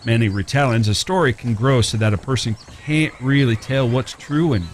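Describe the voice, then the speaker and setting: A man acting in an audio drama as a courteous, smitten Old West drifter with a rough past, speaking folksy, polite lines in an American Western accent. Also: medium pitch, moderate pace, a little hiss or hum, natural voice. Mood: earnest. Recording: clear background ambience with animal sounds.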